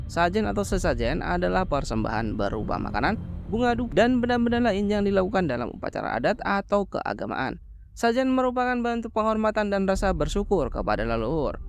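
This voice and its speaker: A high-voiced man delivers a scripted horror movie recap. His intonation swings widely as he recounts and explains the story in informal language, over faint background noise. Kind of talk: scripted storytelling narration of a horror film plot.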